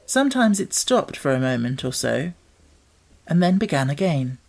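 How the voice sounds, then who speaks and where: A man reading an audiobook as narrator, telling the story in a measured, expressive way. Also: on the high side, gravelly, a little hiss or hum.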